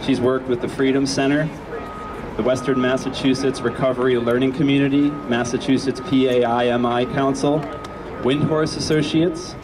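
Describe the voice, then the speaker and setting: A man serves as emcee at an outdoor rally, listing the next speaker's background in a plain, even tone. He sounds set back from the microphone, with loud street noise around him. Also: mid-range voice, moderate pace. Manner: reads a speaker's bio as a list. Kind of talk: event introduction. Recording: distant, noisy street recording. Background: traffic and street noise.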